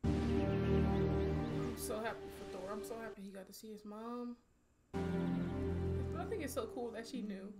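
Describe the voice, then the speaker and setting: A woman's voice: fairly high pitch, a little slow, pauses often. A young woman giving casual, slangy and exclamatory movie reaction commentary, swearing freely. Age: young adult. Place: small bedroom studio. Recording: loud movie soundtrack behind. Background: film audio and music.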